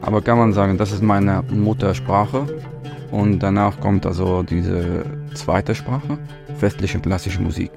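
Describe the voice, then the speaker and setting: A Palestinian musician, answering interview questions in halting German and searching for words. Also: male voice, low-pitched, steady tone, vocal fry. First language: Arabic. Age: 30s. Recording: music playing behind.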